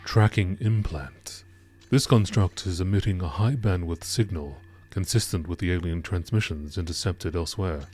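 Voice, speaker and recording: Male narrator, low pitch, measured formal delivery, documentary-style scripted reading, scientific report tone. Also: a little mellow. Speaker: narrator reading game lore entries.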